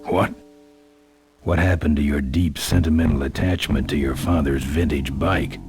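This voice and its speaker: Very deep-voiced gruff biker. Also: male voice, steady tone, normal speed. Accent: American. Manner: terse, dry. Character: gruff, laconic, tough biker hero, middle-aged man. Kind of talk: video game voice acting. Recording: music behind the voice.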